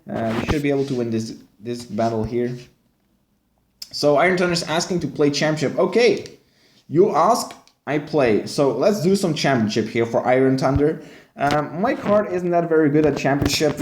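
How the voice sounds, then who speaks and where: A man's voice: a little high, a little mellow. A young man from West Flanders with a West Flemish accent, chatting casually with viewers as he streams a mobile game. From Belgium, West Flanders. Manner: chatty, answers viewer chat while playing. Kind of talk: casual live-stream commentary. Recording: echoey room.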